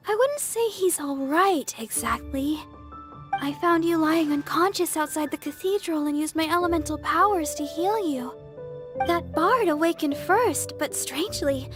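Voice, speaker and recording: A young video game healer, sweet and earnest. The voice is extremely high, light and girlish, with gentle, slightly flustered phrasing and music clearly behind it. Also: animated, a little slow. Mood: gentle, puzzled.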